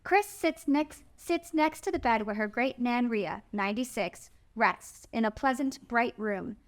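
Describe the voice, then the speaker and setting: A woman's voice: a little high, a little slow. A woman narrating stage directions from a script at a table read.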